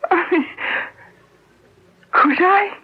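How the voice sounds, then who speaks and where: An actress playing a distraught, jealous wife in a radio drama, halting and emotional as she defends herself against a murder accusation. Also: extremely high pitch, exaggerated voice. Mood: confused, halting. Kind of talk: 1970s radio drama acting. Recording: dull lo-fi radio recording.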